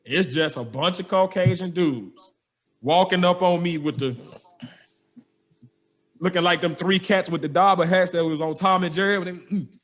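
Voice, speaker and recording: Animated young man with a high voice. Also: normal speed, pauses often. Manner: lively, acts out dialogue in quotes, slangy. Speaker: podcast host telling a story. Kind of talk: animated storytelling.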